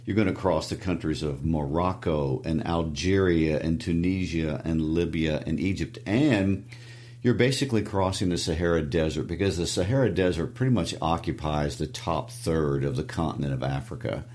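A man with a Midwestern accent co-hosting a podcast, explaining a book's plot in a relaxed, casual way with fillers. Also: rumbling low, normal speed. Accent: Midwestern American. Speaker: podcast co-host. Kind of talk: casual book discussion.

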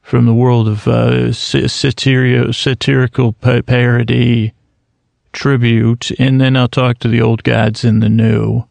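A man in his thirties drifts through a rambling bedtime monologue with a heavily gravelly, fry-laden voice. His delivery stays flat and unvaried, full of restarts and fillers, close on a dry mic. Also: mid-range voice, dry recording. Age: 30s. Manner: slow, meandering, halting with many restarts and fillers. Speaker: sleep podcast host.

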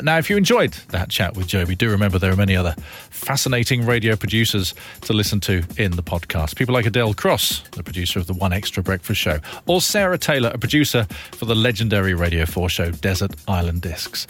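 A man with a fairly low voice hosts a podcast over faint music. He speaks conversationally, in a reflective and emphatic way. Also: fairly bright tone. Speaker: podcast host.

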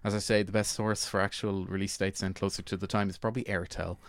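Low, smooth-voiced Irish man. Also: level tone, quick pace, no room sound, clean studio sound. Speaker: podcast host. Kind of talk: casual film chat.

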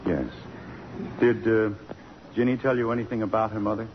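An actor playing a gentle, reticent middle-aged college president, hesitant and courteous, in 1950s radio drama style. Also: male voice, on the low side, fairly even intonation, moderate pace, close to an everyday voice. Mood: tender, hesitant. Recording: muffled, noisy old radio recording.

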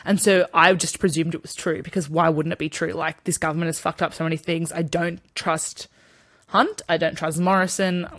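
Brief: Australian woman podcast co-host, lowish voice, loose rambling talk, swears freely. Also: level tone, normal speed, a bit bright. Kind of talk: casual chat.

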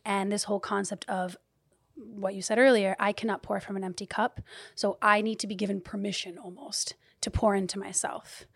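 Lively woman in her thirties, dry close sound. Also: mid-range voice, moderate pace, creaky, slightly rough, dry recording. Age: 30s. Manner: animated, swearing, laughing, shifting from loud to hushed. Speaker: guest, community leader and business owner. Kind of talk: candid podcast conversation.

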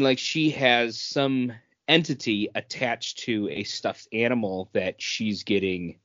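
Mid-pitched man explaining casually, dry sound. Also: a bit bright, no echo. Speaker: podcast co-host. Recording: dull, dry lo-fi audio.

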